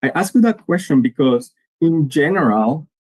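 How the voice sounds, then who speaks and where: A man's voice: on the high side, animated, normal speed, darkish tone, no room sound. A man, giving an earnest conference talk to students and restarting his phrases often. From Colombia. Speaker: guest speaker.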